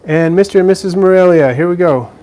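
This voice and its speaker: Relaxed man hosting a pet live stream. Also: high-pitched, fast talker, rough recording. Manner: relaxed, chatty, with fillers and restarts. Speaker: live stream host answering viewer questions. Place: indoors beside a frog terrarium.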